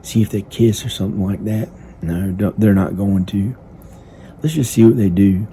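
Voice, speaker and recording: A man improvising a first-person story in a halting, simple, repetitive manner, with hesitant pauses. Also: lowish voice, mellow. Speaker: amateur storyteller narrating own improvised story. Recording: noticeable background noise. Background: ambient sound effects.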